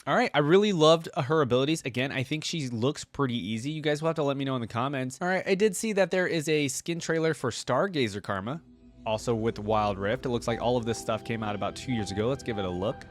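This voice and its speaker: A man's voice: mid-range voice, fast talker. A man hosting a reaction video, giving casual, conversational commentary and thinking aloud as he gives his opinions. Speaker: host of a fan reaction video. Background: music.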